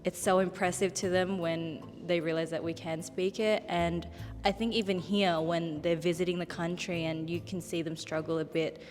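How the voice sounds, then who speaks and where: A woman's voice: fairly low pitch, little pitch movement, moderate pace, a little mellow. Earnest woman in a reverberant room. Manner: earnest, opens thoughts with "um". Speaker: panel guest. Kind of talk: conversational panel discussion. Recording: long reverb, faint background music.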